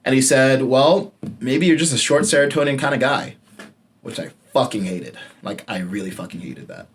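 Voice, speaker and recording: A man in his late twenties, a podcast host telling a personal story in a candid, casual way, swearing freely and dropping his voice low when he stresses a point. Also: lively intonation, moderate pace. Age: late 20s.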